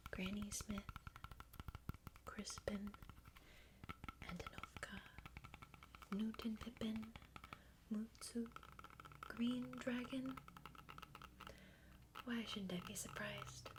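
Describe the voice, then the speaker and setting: A woman voicing a bossy, know-it-all cartoon girl in a breathy, soft-spoken ASMR roleplay, close to the microphone. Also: fairly high pitch, fairly even intonation, slow pace, pauses often, background noise. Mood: condescending. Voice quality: breathy whisper.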